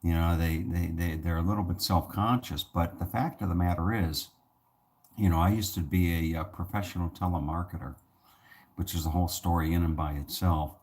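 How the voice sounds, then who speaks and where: Very deep-voiced man rambling softly. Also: steady tone, normal speed. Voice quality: soothing voice. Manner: rambling, many restarts and fillers. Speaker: channel host. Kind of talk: casual talk to camera.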